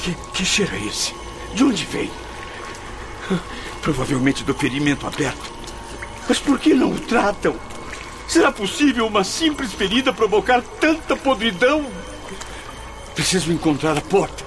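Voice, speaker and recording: A high, tormented blind soul. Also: male voice, sing-song, low-quality recording. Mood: ashamed, fearful. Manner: theatrical, tormented, halting and desperate. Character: anguished, blinded man trapped in afterlife torment after suicide. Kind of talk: radio drama acting. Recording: dramatic music under the voice.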